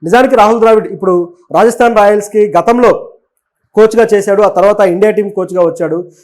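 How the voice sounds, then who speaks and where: Fast, high-pitched man presenting news. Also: darkish tone. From Telugu states of southern India. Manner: explanatory, opinionated, mixes in English words. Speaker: web news presenter and commentator. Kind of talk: conversational sports and political news commentary.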